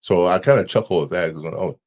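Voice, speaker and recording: A man with an extremely low, deep voice talks casually as a podcast guest. He speaks haltingly, restarting and repeating words as he thinks aloud. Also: a little mellow. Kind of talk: casual conversation.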